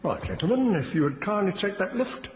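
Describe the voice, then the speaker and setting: A man's voice: high voice, expressive, a little fast, a little hiss or hum. An actor playing a self-assured, wealthy company chairman in a radio drama, speaking with urbane authority. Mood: courteous.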